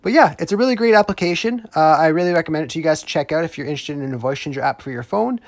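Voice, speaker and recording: A young man narrates a tutorial in a casual, conversational way. His voice sits a little higher than most men's. The sound is close and dry, with no room echo. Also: animated, very fast. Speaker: host of app tutorials.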